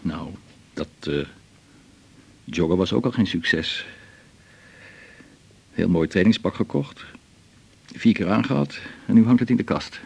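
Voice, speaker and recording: Weary, gravel-edged male doctor. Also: deep voice, expressive, a little mellow, full character voice. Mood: subdued, confiding. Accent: Dutch (Netherlands). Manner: measured, dryly ironic, hesitant. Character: weary, dry-humoured male family doctor. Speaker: radio drama actor. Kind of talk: 1980s Dutch radio drama acting.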